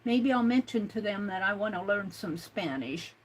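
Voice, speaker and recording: An older woman hosting an art livestream, chatting slowly and reading out viewer comments, with many fillers and restarts. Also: mid-range voice, lively intonation, low-quality recording. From United States.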